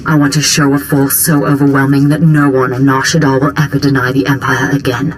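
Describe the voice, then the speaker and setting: A woman playing a smooth, ambitious imperial diplomatic operative in a video game, speaking in formal, polished, deferential phrases. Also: extremely low pitch, little pitch movement, a little fast, faint music behind. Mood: stern, vengeful. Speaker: imperial special operations chief. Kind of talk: dramatic video game dialogue.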